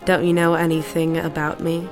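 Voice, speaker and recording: A woman's voice: low-pitched, level tone, moderate pace, darkish tone, soft background music. A woman playing a nervous, prickly, reclusive housewife in a period audio drama, blunt and guarded. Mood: defiant.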